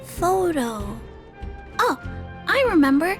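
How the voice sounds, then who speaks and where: A woman's voice: very high-pitched, big pitch swings, slow pace, music in the background, exaggerated voice. A woman voicing a shy, polite, sweet little girl in a video game, timid and well-mannered.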